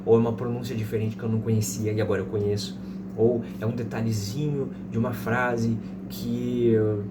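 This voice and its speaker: A man's voice: mid-range voice, level tone, a little fast. Casting: Brazilian man, thirties, didactic tutor who repeats examples, recorded away from the mic in heavy noise. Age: 30s. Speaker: English teacher. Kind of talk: informal explanatory language lesson with slow example sentences. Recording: distant mic, loud background noise.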